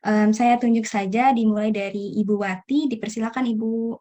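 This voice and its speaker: A woman's voice: on the high side, fairly even intonation, normal speed, warm tone. A young woman moderating a group discussion, courteously calling on each participant in turn. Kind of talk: polite formal moderating.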